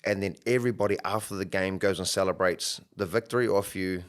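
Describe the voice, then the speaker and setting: A man's voice: deep voice, fairly even intonation, fast talker. A young adult man, co-hosting a podcast in a reflective, easygoing way. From New Zealand. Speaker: podcast co-host, coach. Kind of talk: casual podcast chat.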